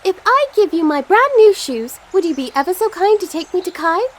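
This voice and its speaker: A woman's voice: very high-pitched, big pitch swings, fairly quick. A woman playing a determined, polite sixteen-year-old girl in a fairytale audio play, earnest in manner. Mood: pleading, hopeful. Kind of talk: amateur audio play acting. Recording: faint background noise.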